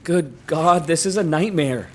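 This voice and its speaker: A woman's voice: deep voice, a little slow. A woman playing an anxious, indignant man horrified at his predicament, in a naturalistic audio drama. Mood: aggrieved. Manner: indignant, fretful. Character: anxious, aggrieved man horrified at being pregnant.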